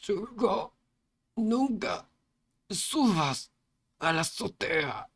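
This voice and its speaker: A man's voice: on the high side, lively intonation, a little slow, voice pushed up. A man voicing a wounded, dying male teacher full of guilt, speaking in a weak, strained way. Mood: anguished.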